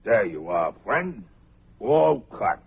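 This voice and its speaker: An actor playing a surly, fat, crooked barber and gang boss in a vintage radio crime drama, gruff and curt. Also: male voice, on the low side, very animated, slow, exaggerated voice. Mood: offhand. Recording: muffled, tinny vintage radio recording.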